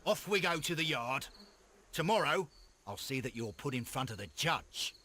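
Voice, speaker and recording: An actor playing a brusque, eager Victorian police inspector in a video game, with a British accent and an authoritative, blustering manner. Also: male voice, medium pitch, sing-song, normal speed, sharp tone, slight background noise. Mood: stern, impatient. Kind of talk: scripted video game acting.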